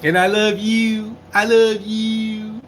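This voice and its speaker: A tipsy man live-streaming as a YouTuber, chatting casually in a rambling, halting way full of restarts. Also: sits high, little pitch movement, slight background noise. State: tipsy from drinking. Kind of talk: casual livestream chat.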